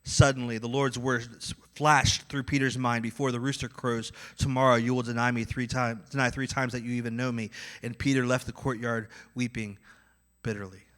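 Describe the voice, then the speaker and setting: A man with a Midwestern accent preaching a sermon, acting out inner voices and swinging between loud and quiet. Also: medium pitch, normal speed. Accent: Midwestern American. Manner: animated, acts out inner voices with swings in loudness. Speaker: pastor.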